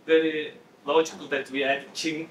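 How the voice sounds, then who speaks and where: A man's voice: a little high, little pitch movement, a bit bright. Man heard from afar in an echoey hall. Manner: halting, many restarts while explaining word forms. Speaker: conference discussant. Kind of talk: academic Q&A discussion. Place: lecture hall. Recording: echoey room, distant mic, faint noise.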